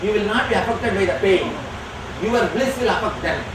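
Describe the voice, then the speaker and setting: A man whose first language is Tamil, answering audience questions as a spiritual teacher, speaking slowly and emphatically. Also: sits high. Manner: slow, emphatic and declarative. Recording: echoey hall from far off, noisy.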